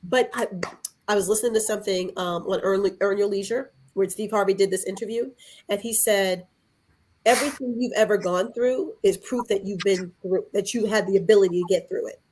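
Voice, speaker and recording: A woman's voice: medium pitch, a little fast, a little mellow, a little hiss or hum, poor audio. A woman talking reflectively in a conversational podcast style, earnest, often repeating phrases and posing questions. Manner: earnest, rhetorical questions and repetition. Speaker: podcast guest.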